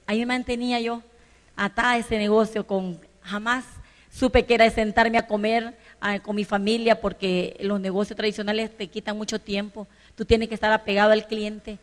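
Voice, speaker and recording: An older woman from El Salvador with a Salvadoran Spanish accent, giving an earnest personal testimony at a business event and rising to emphatic bursts. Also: mid-range voice. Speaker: business conference speaker. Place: large conference hall stage. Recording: long reverb of a large hall.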